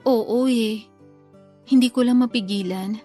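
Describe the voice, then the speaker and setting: A woman's voice: fairly high pitch, a little slow, dark tone, soft background music. An actress playing an anxious, coy young mother who cheats on her partner, in casual Tagalog radio drama dialogue. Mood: worried, guilty.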